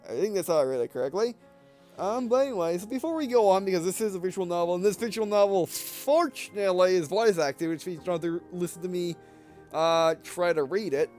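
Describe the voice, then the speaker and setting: A man's voice: high voice, fairly quick, darkish tone, faint music behind. A man hosting a gameplay video with casual, rambling, digressive commentary, often trailing off mid-sentence.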